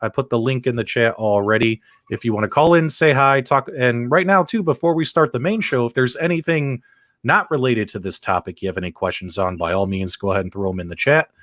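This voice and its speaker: A man's voice: medium pitch, brisk, fairly bright tone, dry recording, clean studio sound. A man hosting a casual livestream show, chatting and explaining things to co-hosts and viewers.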